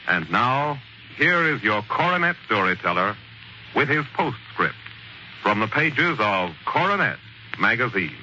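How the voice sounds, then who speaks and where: A man narrating as a 1940s radio storyteller, in a dramatic, measured, scripted style. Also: lowish voice, very animated, sharp tone, a little hiss or hum. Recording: muffled 1940s radio recording. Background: prominent music.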